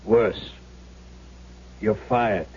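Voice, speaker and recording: An actor playing a firm, regretful casino boss with a blunt, clipped manner, in radio drama style. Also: male voice, lowish voice, extremely slow, a little mellow. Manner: blunt, clipped, matter-of-fact. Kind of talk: 1970s radio drama acting. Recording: dull, noisy old radio broadcast.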